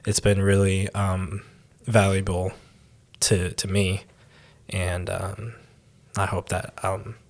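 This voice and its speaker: A young man who grew up abroad, answering interview questions as a church intern, with many pauses and restarts. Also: low voice, completely flat delivery. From raised in Taiwan, born in the United States. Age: young adult. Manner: hesitant, many ums and restarts.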